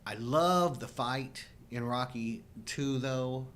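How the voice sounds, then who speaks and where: A man's voice: takes it slowly, a bit bright. A middle-aged man co-hosting a show, chatting casually about movies with joking commentary. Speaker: co-host of a live chat show. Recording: low rumble and background noise.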